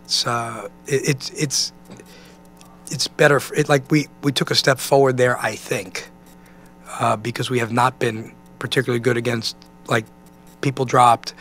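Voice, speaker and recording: An American man in his forties, a basketball coach answering press questions in a halting, conversational way, often saying "you know.". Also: mid-range voice, fairly even intonation, a bit bright, a little hiss or hum. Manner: halting, thinking aloud, peppered with 'you know'. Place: indoor press conference room. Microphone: microphone on a stand at a table.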